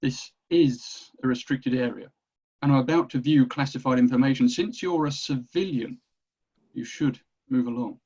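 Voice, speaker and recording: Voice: mid-range voice, normal speed, mellow, slightly rough, muffled recording. An actor playing a stern female army general in her fifties in a screenplay table read, curt, formal and condescending. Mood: stern, dismissive.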